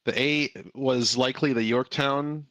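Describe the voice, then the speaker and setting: A man's voice: mid-range voice, steady tone, unhurried, a bit bright, dry recording. A man in a voice chat, eagerly insisting on things and repeating himself while watching a movie. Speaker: voice chat guest. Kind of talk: casual chat over a movie.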